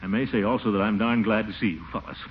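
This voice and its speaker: An actor playing a pompous, easily flustered, suspicious middle-aged city commissioner in a 1940s radio comedy, exclaiming and blustering. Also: male voice, lowish voice, fast, close to an everyday voice. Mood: guarded, touchy. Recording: muffled, hissy 1940s radio recording.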